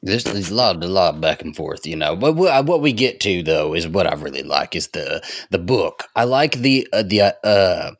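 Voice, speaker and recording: A man's voice: sits low, expressive, moderate pace, hardly any pauses, no room sound. A man co-hosting a casual podcast chat, with a dramatic, exclaiming way of talking. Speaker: podcast co-host.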